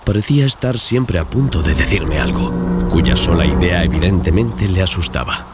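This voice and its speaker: A man's voice: deep voice, lively intonation, normal speed, heavy background noise. A man narrating a dramatized gothic horror audiobook as a scripted reading. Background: loud music and sound effects.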